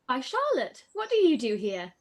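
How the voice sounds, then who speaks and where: A woman acts a young gentlewoman in a period radio drama. Her voice is high and expressive, brisk with exasperation. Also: full character voice. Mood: amused exasperation. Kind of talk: period drama live-read radio play.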